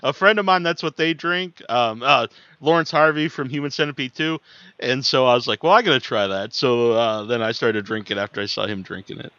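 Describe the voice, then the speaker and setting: A man hosting a podcast interview, relaxed and hesitant, filling with "uh" and repeating words. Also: medium pitch, a little fast, clean tone, no echo. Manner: relaxed, hesitant, many "uh"s and repeated words, frequent laughs and "mm-hmm". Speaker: podcast host. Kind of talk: casual interview chat. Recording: dull lo-fi sound, dry.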